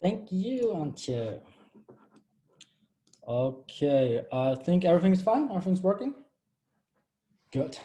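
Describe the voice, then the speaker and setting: A man's voice: on the high side, slow pace, pauses often. A man in his thirties from Austria, presenting his final project on a video call. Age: 30s. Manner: a little flustered by screen-sharing trouble, then steady and explanatory. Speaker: bootcamp graduate presenting a project. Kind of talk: live-streamed project presentation.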